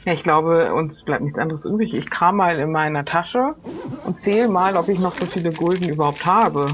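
A woman's voice: on the low side, moderate pace, natural voice. A woman playing a polite, inquisitive crew member with a hesitant manner in an improvised role-play comedy. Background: faint music.

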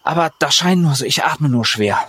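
A man's voice: fairly high pitch, expressive, normal speed, sharp tone, slightly rough, no echo. A man playing a tabletop roleplaying game, improvising conversationally in character.

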